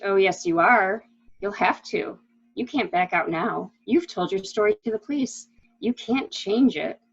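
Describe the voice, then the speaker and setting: A woman's voice: medium pitch, measured pace, slightly rough. A woman acting a fretful, secretive wife who covers for her lover, in a dramatic mystery reading with dull sound. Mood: defiant.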